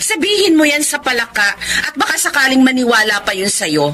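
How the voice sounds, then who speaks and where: A haughty, scornful older sister, swooping voice. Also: female voice, high-pitched, very animated, moderate pace, noisy background. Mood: scornful, accusing. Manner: condescending, mixes English into Tagalog. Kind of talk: radio drama acting.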